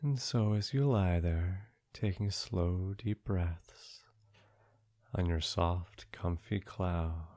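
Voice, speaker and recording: A man leading a guided sleep meditation in a soothing, gentle, hushed voice, breathy and often trailing into a near-whisper, with long pauses between short phrases. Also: sits low. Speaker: meditation guide, podcast host.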